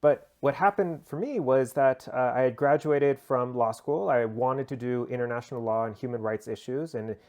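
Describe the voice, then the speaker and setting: Man, American accent, interview guest, medium pitch, conversational, informal, thinking aloud. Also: moderate pace, few pauses, a little mellow. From United States. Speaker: interview guest, human rights lawyer.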